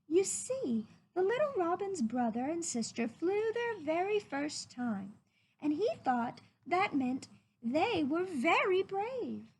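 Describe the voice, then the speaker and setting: Storybook mother raccoon played by a woman: soothing, patient, high and slow, melodic swings. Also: very animated, darkish tone, a little hiss or hum, heavily acted. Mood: patient, explaining. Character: gentle, patient mother raccoon telling a tale. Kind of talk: storybook character voice.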